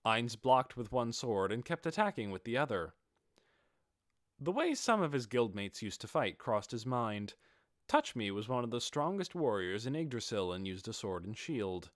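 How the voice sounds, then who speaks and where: A man's voice: mid-range voice, big pitch swings, moderate pace, no room sound, studio quality. A man narrating a fantasy audiobook in a dramatic, scripted style.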